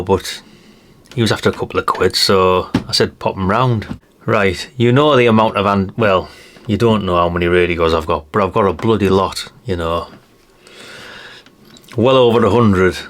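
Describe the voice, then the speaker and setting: A middle-aged man chatting casually and unscripted as he shows things, mildly sweary and full of tag questions. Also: on the low side. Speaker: host of a retro radio collecting channel. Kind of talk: casual unscripted show-and-tell chat.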